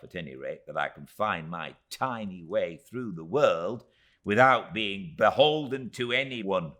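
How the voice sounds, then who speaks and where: A man's voice: fairly low pitch, a bit bright, voice pushed up. A man reading a storybook and voicing a tiny, emphatic, indignant middle-aged woman in distress. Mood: plaintive, earnest.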